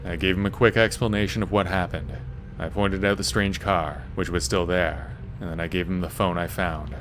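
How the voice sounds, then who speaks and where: A man's voice: sits low, steady tone, moderate pace, a bit bright, slight background noise. A man reading a scripted first-person scary story as a narrator.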